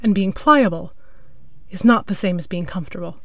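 A woman's voice: medium pitch, moderate pace, fairly bright tone, no echo. A woman reading a piece of scripted literary fiction as its narrator. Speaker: story narrator.

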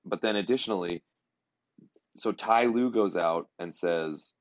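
A man co-hosting a podcast in casual chat, his voice hoarse, talking haltingly with many fillers. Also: low voice, no echo. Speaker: podcast co-host.

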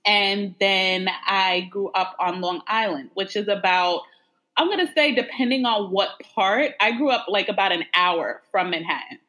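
A woman's voice: medium pitch, moderate pace, bright, forceful tone, rough recording. A woman from New York, a guest in a casual interview, talking frankly and conversationally with lots of "like" and "you know". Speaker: guest, adoptee.

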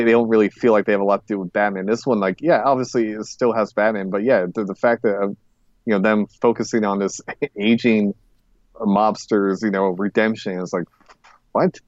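A man's voice: fairly low pitch, a little mellow, no echo, highs cut off. A man co-hosting a podcast in a casual review chat, rambling, hesitant and often correcting himself. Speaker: podcast co-host.

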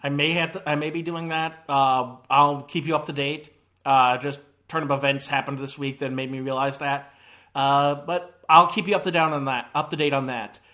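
A man hosts a casual movie podcast. He rambles along, breaking off with fillers and restarting his sentences. His voice sits a little high. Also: steady tone, normal speed, pushed and bright. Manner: rambling, with many fillers and self-corrections. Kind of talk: casual chat.

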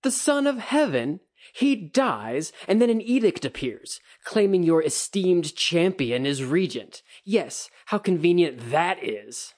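A drunk samurai bellows slurred insults, his voice jumping high and loud with contempt, as a man reads him aloud. He sounds belligerent and sloppy, spoiling for a fight in a storybook street brawl. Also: very animated, takes it slowly, heavily acted. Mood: contemptuous.

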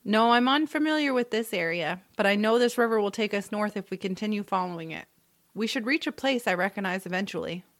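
A woman playing an earnest, noble female traveller in a family fantasy audio drama, speaking formally and gravely. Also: medium pitch, expressive, brisk, natural voice. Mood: measured.

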